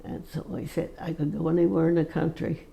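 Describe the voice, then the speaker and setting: A woman of about a hundred recalling old family stories in a slow, halting way, with many pauses and fillers. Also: sits low, level tone, vocal fry, warm tone. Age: 100s. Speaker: interviewee. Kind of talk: casual storytelling.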